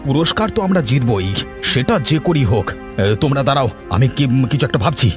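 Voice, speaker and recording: A man's voice: mid-range voice, sing-song, a little fast, faint music behind, natural voice. A man voicing a sly, confident village saboteur in a cartoon, with a conspiratorial manner. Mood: determined plotting. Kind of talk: cartoon voice acting.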